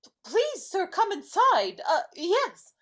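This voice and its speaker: A woman's voice: very high voice, huge pitch swings, slow pace, fairly bright tone, full character voice. A woman playing a fierce, protective wife in a live dramatic reading. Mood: flustered, polite.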